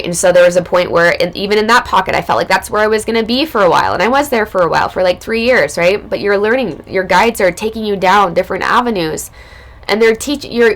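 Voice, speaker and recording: A woman recovering from illness, her voice hoarse and raspy, telling a personal spiritual story in a casual, loose way as a podcast host. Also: medium pitch, fairly bright tone. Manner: casual, loose, spiritual talk with many fillers.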